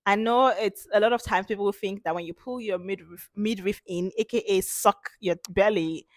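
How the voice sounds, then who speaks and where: A woman hosting an informal instructional talk as a confidence coach, speaking emphatically with repeated points and a slightly hoarse voice from a cold. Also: mid-range voice, moderate pace, no echo, clean studio sound.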